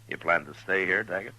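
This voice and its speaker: An actor playing a weary, grave, laconic frontier lawman in a measured, understated 1950s radio drama style. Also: deep voice, sharp tone, husky, heavily acted, low-quality recording. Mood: dry, probing. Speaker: lead actor and narrator. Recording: old 1950s radio recording, music behind.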